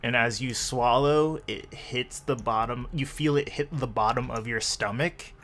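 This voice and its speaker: Slow-paced man narrating as game master. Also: medium pitch, a bit bright. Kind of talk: improvised second-person tabletop game narration.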